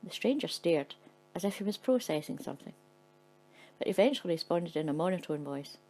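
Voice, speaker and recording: A woman's voice: lowish voice, animated, a little fast, warm tone, a little hiss or hum, poor audio. A woman narrating a story in a dry, matter-of-fact way. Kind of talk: scripted narration.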